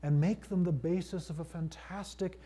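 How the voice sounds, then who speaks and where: A middle-aged male priest giving a conference lecture, measured and thoughtful, with frequent fillers and restarts. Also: high voice, steady tone, mellow, slightly rough. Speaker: priest and seminary teacher.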